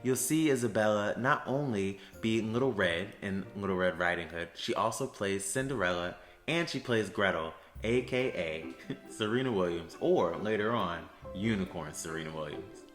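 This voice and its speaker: A young man with a lowish voice talks to students in an upbeat, friendly teacher tone. His voice echoes around a roomy space, and faint music plays softly behind him. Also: moderate pace. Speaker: theater education associate. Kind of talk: explanatory talk to students. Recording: roomy echo with soft music.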